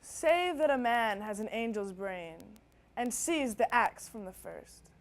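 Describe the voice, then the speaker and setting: A fairly high female voice, a woman acting a wry, rueful youth speaking from the grave about thwarted dreams. It is delivered as a dramatic stage monologue. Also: animated, measured pace.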